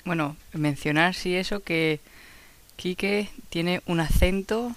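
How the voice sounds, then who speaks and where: Slow-spoken woman co-hosting a podcast. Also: fairly low pitch. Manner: brief, agreeable replies. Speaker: podcast co-host. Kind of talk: casual podcast chat.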